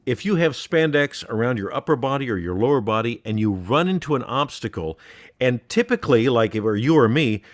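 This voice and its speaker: A man's voice: medium pitch, expressive, brisk. A middle-aged man co-hosting a podcast, bantering satirically in a wry, mock-serious way. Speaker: podcast co-host.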